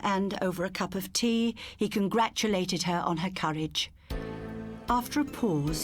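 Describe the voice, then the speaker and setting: A woman's voice: mid-range voice, dry recording. A woman reading a scripted ghost story as an audiobook narrator, in a dramatic, suspenseful storytelling manner.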